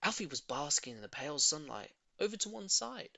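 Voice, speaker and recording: Animated, bright-voiced storybook reader, a man. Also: a little high, brisk, gravelly, dry recording. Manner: expressive, theatrical emphasis. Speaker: audiobook reader. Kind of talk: animated children's storybook narration.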